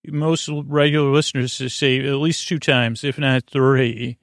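A man hosting a bedtime podcast, speaking in a creaky voice, meandering and halting with lots of ums and repeated words as he lulls listeners to sleep. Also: medium pitch, steady tone, dry recording, clean studio sound. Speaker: podcast host. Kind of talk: rambling bedtime monologue to lull listeners to sleep.

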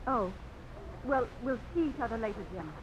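A woman's voice: fairly high pitch, expressive, normal speed, a little hiss or hum, lightly acted. An actress in a radio drama playing a refined, wistful Southern society lady with a genteel, earnest way of talking. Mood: regretful. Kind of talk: 1950s radio drama acting. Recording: muffled old radio broadcast, crowd murmur.

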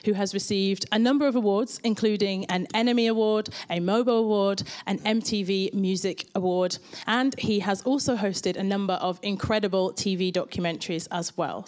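A woman with a mid-pitched voice hosts a live podcast panel in front of an audience. She introduces her guests warmly and at length, and she fills her sentences with small words such as like and you know. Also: fairly even intonation, normal speed. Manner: warm and effusive, with many fillers such as like and you know. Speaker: podcast host. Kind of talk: hosting a live panel recording. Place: indoor event space before a live audience.

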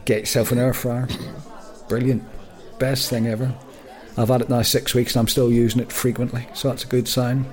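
A man with a medium-pitched voice co-hosts a podcast in an easy, jokey chat. He often trips over his words and restarts his sentences. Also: little pitch movement, normal speed, a little mellow. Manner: chatty and jokey, with frequent stammered restarts. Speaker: podcast co-host. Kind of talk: casual chat.

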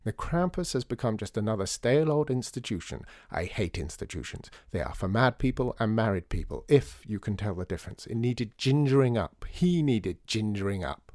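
A man voicing a sharp-tongued female newspaper columnist who talks in quick, cutting, fussy phrases. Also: on the low side, expressive, no room sound, clean studio sound, natural voice. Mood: scornful.

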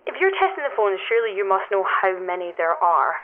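An actress playing a curious, determined climber in an audio drama, speaking in a direct, slightly awkward way through a telephone filter. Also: female voice, medium pitch, big pitch swings, quick pace. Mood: curious, probing. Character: curious, determined woman climbing a mysterious tower. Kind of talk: naturalistic audio drama dialogue. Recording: thin phone-line sound, music underneath.

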